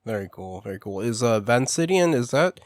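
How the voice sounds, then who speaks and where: A man hosting a casual interview, chatty and quick with short repeated reactions. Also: medium pitch, big pitch swings, no echo, studio quality. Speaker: radio show host.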